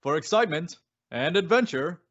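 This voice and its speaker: A man's voice: a little high, animated, normal speed, a bit bright. A man announcing in the style of an old-time radio show. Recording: dull, lo-fi with faint music.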